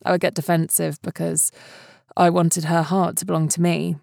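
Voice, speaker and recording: A woman delivering scripted narration in a measured, clearly phrased reading manner. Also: fairly low pitch, little pitch movement, darkish tone, no echo, studio quality. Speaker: podcast announcer and reader.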